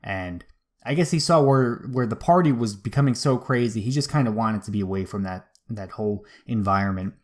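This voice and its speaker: A man's voice: on the low side, brisk, a little mellow. A man hosting a history show, retelling events in an informal, slangy, conversational way. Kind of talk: casual conversational history storytelling.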